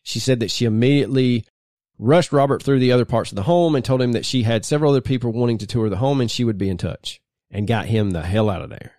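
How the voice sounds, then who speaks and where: A man co-hosting a podcast, telling the details of a true crime case in a measured storytelling way. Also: medium pitch, a little mellow, no echo, studio quality. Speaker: podcast co-host.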